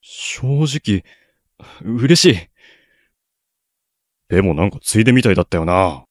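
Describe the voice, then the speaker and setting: A man's voice: fairly low pitch, expressive, a little slow, no echo, clean studio sound. A young man playing a blunt, sulky, bashful teenage schoolboy in a radio drama. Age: young adult. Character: grumpy, bashful lovestruck schoolboy Ryo. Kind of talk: Japanese student radio drama acting.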